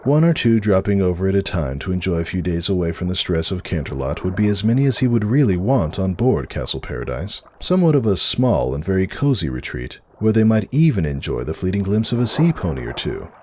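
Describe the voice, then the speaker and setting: A man's voice: deep voice, sing-song, fairly quick, hardly any pauses, darkish tone, a little hiss or hum. A man reading a story aloud as a narrator, in a scripted dramatic storytelling style. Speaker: fan fiction narrator.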